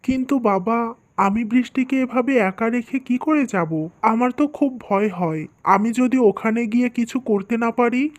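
A woman's voice: a little high, a little slow, lightly acted. A woman voicing an earnest, worried young husband and dutiful son in a scripted cartoon, speaking plainly.

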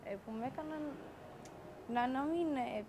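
A woman's voice: sits high, noisy background. A young woman, a reality show contestant, earnestly explaining her view and groping for words. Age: young adult. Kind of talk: frank one-on-one talk. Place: indoor kitchen area of a reality TV house.

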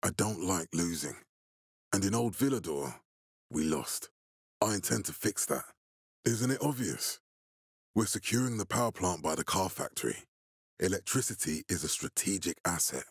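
An actor playing a menacing middle-aged military leader in a video game, authoritative, curt and measured. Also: male voice, sits low, expressive, slightly rough, dry recording, studio quality, exaggerated voice. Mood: stern, controlled. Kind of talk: dramatic video game voice acting.

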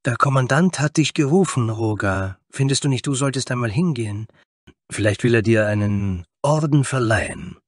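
A man's voice: mid-range voice, lively intonation, moderate pace, dry recording, studio quality, pitched above the performer's own voice, close to an everyday voice. A man voicing a dreamy, vain male tiefling bard with an airy, effusive and theatrical manner in a fantasy story. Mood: dreamy, teasing.

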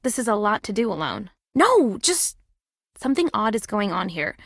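A woman's voice: medium pitch, big pitch swings, normal speed, gravelly, dry recording, clean studio sound. A woman playing a friendly, keen young sleuth's sidekick in a mystery video game. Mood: conspiratorial, helpful. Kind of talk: scripted video game voice acting.

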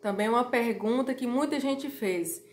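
Slightly hoarse woman in an echoey room. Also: fairly high pitch, normal speed. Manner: chatty, direct to viewers, repeats warnings. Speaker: YouTuber. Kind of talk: informal explainer vlog. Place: small room at home, indoors.

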